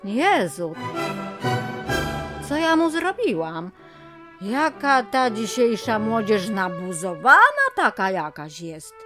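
A woman's voice: a little high, fairly bright tone, exaggerated voice. A woman playing a fussy, overprotective aunt of fifty in a radio comedy, fretting and coddling as she chatters, with music playing behind her. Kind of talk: radio comedy drama acting.

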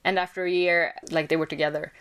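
A young woman with a Swedish accent, answering interview questions casually with many pauses and fillers. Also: deep voice, flat delivery, fairly bright tone, smooth. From Sweden. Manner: hesitant, many fillers and restarts. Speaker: podcast guest.

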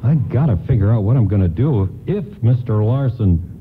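Male actor as friendly store doorman, radio play. Folksy, earnest, lively pitch swings, muffled broadcast with faint noise. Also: moderate pace, natural voice.

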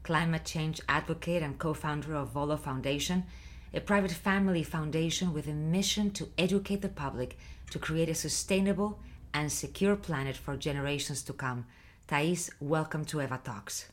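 A woman's voice: low-pitched, normal speed. A woman hosting her own interview show, asking questions in an encouraging way. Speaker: talk show host.

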